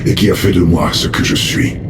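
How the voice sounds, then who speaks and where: An actor playing a grave, brooding mutated alien ally in a video game dub, speaking solemnly. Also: male voice, deep voice, fairly even intonation, natural voice. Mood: grave, warning. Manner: solemn, deliberate warnings. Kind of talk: dubbed video game cinematic acting. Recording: echoing room, music behind.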